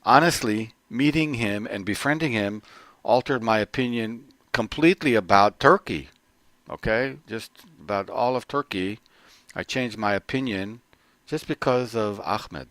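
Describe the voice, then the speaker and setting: A middle-aged man giving an earnest personal testimony, often halting and restarting his phrases. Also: on the low side, fairly bright tone, dry recording. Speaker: corporate trainer.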